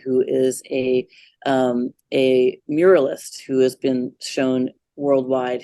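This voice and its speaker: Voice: mid-range voice, darkish tone, no echo. Dry-sounding guest, full of fillers. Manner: appreciative, hesitant with many fillers. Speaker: magazine co-founder, guest. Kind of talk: conversational interview.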